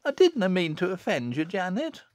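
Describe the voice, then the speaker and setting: Man playing a high-voiced Lancashire village lass. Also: very animated, normal speed, no room sound, clean studio sound. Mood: tearful. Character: broad-backed village lass, blunt but easily cowed. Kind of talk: audiobook character acting.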